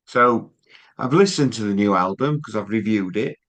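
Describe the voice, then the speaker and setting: A man's voice: on the low side, expressive, moderate pace, a bit bright. Man, interview host, casual, friendly, some ums. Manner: friendly, hesitant with "um".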